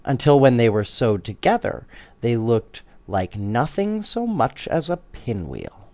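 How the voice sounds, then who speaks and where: A man voicing a kindly older woman who gently recalls her girlhood in a storybook. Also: medium pitch, sing-song, measured pace, no echo. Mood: nostalgic, gentle.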